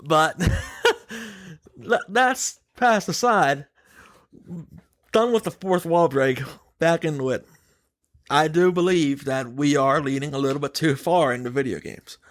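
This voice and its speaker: A man's voice: fairly high pitch, unhurried, fairly bright tone, dry recording, clean studio sound. A man hosting a podcast, giving his opinions in casual, emphatic chat and putting questions to his co-host.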